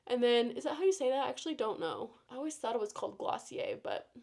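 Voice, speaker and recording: A woman's voice: a little high, animated, fast, creaky, darkish tone. Slightly nasal, stuffed-up young-sounding woman. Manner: chatty and rambling, with fillers like "like" and "you know". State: stuffed up from a cold. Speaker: beauty vlogger. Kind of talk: casual chat while doing makeup.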